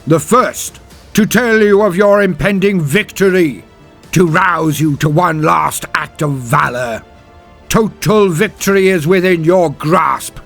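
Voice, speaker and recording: A man voicing a valiant space marine champion in a sci-fi audiobook, giving a solemn, rousing battle speech in a grand, drawn-out, declamatory way. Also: a little high, vocal fry, fairly bright tone, faint music behind, pitched above the performer's own voice, heavily acted. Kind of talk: dramatic audiobook character speech.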